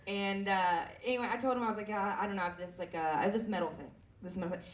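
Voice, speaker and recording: A woman in her twenties with a raspy voice, performing a self-deprecating stand-up set with lots of fillers and halting restarts. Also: mid-range voice, fairly even intonation. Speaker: stand-up comedian. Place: comedy club stage. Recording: echoey room heard from a distance.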